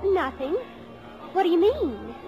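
A woman's voice: extremely high pitch, very animated, slow, gravelly, muffled recording, music in the background, exaggerated voice. A woman playing an earnest, easily flustered American teenage daughter in a 1950s radio comedy. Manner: eager, hesitant, flustered. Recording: old radio broadcast.

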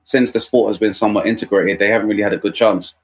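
A man's voice: fairly low pitch, steady tone, fast. A man on a podcast panel making a wry, argumentative point in casual discussion.

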